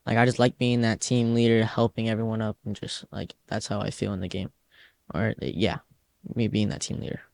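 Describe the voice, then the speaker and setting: A man's voice: on the low side, flat delivery, fairly quick, creaky, a little mellow. A teenage boy, a youth soccer player, answering interview questions in a loose, rambling way full of "like" fillers. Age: teens.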